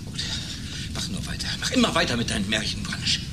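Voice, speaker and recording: A man's voice: lowish voice, brisk. An actor in a German radio play playing an indignant, sarcastic man who is protective of his friend. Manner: animated, sarcastic. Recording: very noisy 1980s radio recording.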